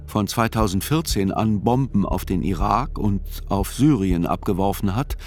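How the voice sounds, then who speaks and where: A man giving scripted first-person literary narration in a radio play, measured and reflective. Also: on the low side, darkish tone, a little hiss or hum.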